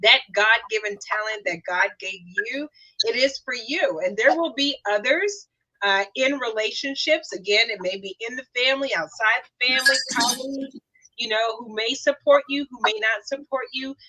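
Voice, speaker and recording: A woman hosting a conversation over a video call, measured, slowing down to stress key phrases. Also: a little high, pushed and bright, poor audio. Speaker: host.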